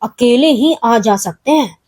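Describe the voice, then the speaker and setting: A woman's voice: fairly high pitch, lively intonation, unhurried, darkish tone, slightly rough. A woman voicing a kindly, reasoning male bear in a children's story, with a reassuring manner.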